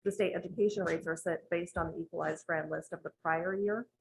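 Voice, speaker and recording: A woman advising a town board on budgeting, explaining rules in a measured, methodical way with frequent ums, in a town meeting room. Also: fairly low pitch, fairly even intonation, creaky, slight background noise, poor audio. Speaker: municipal finance advisor presenting to a town board.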